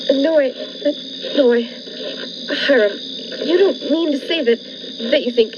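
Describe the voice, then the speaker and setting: Woman acting a high-pitched frightened wife, lo-fi. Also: normal speed, natural voice. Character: frightened, trapped wife writing a despairing diary. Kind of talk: 1940s radio thriller acting. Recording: dull lo-fi radio-play audio, faint noise.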